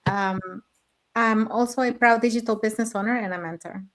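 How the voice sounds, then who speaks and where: Woman, fairly high voice, brisk, co-host. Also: fairly even intonation, fairly bright tone. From Mexico. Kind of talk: live-stream panel chat.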